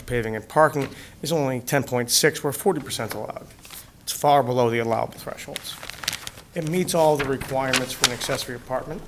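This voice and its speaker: A man presenting an application as an attorney to a town board, measured and methodical, his voice hoarse from a cold. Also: mid-range voice. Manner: measured, methodical, with frequent "uh" fillers. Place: town meeting room. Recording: noisy public-access meeting recording.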